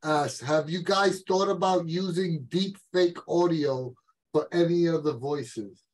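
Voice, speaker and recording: A man's voice: fairly high pitch, unhurried. A man co-hosting a casual interview on a video call and putting questions to the guests. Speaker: co-host reading audience questions. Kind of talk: casual chat.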